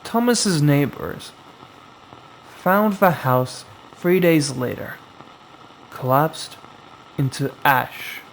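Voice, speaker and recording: Very slow, gravelly male horror storyteller. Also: on the high side, animated, slight background noise, low-quality recording. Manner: slow, dramatic, suspenseful delivery with long pauses. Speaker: storyteller, host of a horror anthology. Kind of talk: scripted horror story narration.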